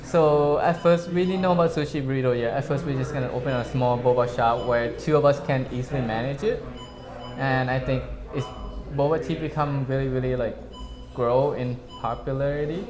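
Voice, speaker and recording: A man's voice: mid-range voice, fairly even intonation, fairly quick. A man from Thailand, a restaurant co-owner, talking loosely in an interview. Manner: loose, rambling, non-native phrasing. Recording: echoey room with crowd noise.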